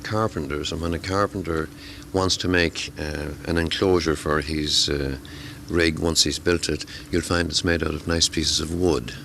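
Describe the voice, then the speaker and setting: A man's voice: rumbling low, fairly even intonation, normal speed, few pauses, a bit bright, a little hiss or hum. A middle-aged man who tutors amateur radio classes, talking at a convention in a hesitant way, with many fillers and repeated words. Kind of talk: conversational interview.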